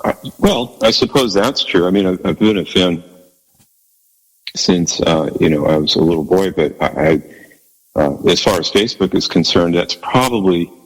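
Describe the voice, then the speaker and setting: A man with a low bass voice, chatting as a guest in an interview, speaking haltingly and modestly with many restarts. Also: in a large hall, low-quality recording. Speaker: guest musician. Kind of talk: casual interview chat.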